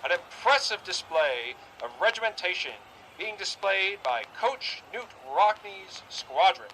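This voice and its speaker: An actor playing an excitable 1920s radio play-by-play announcer in a grandiose, formal showman style. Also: high voice, a little slow, background noise. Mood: excited, grand. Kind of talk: 1920s radio sports commentary acting.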